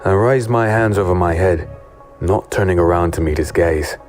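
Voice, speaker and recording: An actor playing a wary hooded rogue, narrating in the first person in a fantasy audio drama, low-key and brooding. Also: male voice, moderate pace, lightly acted. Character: wary, hooded male rogue narrating his own infiltration. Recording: loud music bed behind voice.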